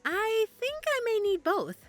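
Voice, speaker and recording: A woman's voice: very high voice, sing-song, measured pace, faint music behind, exaggerated voice. A woman playing a composed, cautious character with a discreet manner in an audio drama. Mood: curious.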